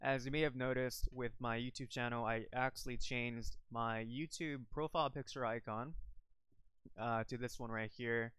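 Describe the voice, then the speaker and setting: A man's voice: mid-range voice, steady tone, normal speed, a bit bright, smooth, no room sound. A young man from the Philippines chatting casually on a live stream from home, rambling with lots of fillers and restarts into a desk microphone. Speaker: YouTuber streaming about an online game.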